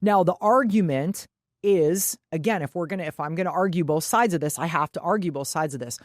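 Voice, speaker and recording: A woman co-hosting a podcast with casual, opinionated sports talk, blunt and indignant in manner and quick to swear. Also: fairly low pitch, no echo, studio quality. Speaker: podcast co-host.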